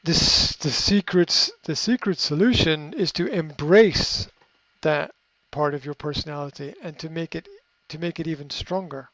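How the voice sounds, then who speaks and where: A man speaking in a fairly high voice, coaching in an unscripted, reflective way. He pauses with fillers and restarts sentences as he thinks. The recording is dry and a little muffled. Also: fairly bright tone, no room sound. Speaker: coach answering a question. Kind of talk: unscripted advice talk.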